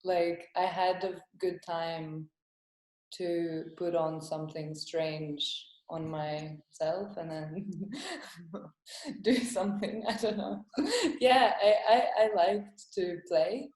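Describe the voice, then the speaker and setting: Estonian-born woman comedian, young, low warm voice, hesitant chat, echoey room. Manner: hesitant, laughs often, halting phrasing. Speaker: comedian guest. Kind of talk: casual interview.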